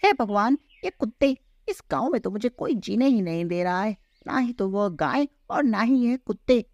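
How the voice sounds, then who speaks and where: Lady doing a plaintive skinny cartoon cow for a kids story, very animated pitch, dry sound. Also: moderate pace, no room sound, highs cut off. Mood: distressed, pleading. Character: skinny, harassed village cow, plaintive and pleading. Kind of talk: children's cartoon story acting.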